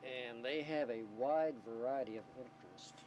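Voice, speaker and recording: A man who runs a community radio station explains things informally in an interview, halting and choosing his words carefully, on a noisy old documentary recording. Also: darkish tone. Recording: noisy 1990 documentary tape, vehicle or generator rumble.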